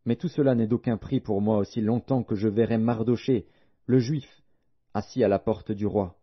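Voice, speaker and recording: Man reading as proud, boastful royal official, husky. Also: lowish voice, fairly even intonation, normal speed. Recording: dull, lo-fi audio.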